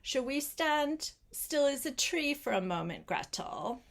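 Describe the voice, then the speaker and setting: An older woman reading a picture book aloud to a small child, gentle and coaxing, with chatty asides spoken straight to the child. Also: high voice, big pitch swings, gravelly. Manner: gentle, unhurried, coaxing, addresses the child directly.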